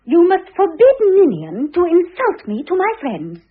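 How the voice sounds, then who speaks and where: An affronted British society wife with a high, wildly swooping voice. She is petulant and full of haughty airs, in the manner of old radio drama. The recording is thin and narrow, like a telephone. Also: huge pitch swings, measured pace, exaggerated voice. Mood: indignant, huffy. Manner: haughty, huffy, affected airs. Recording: thin phone-like vintage radio sound.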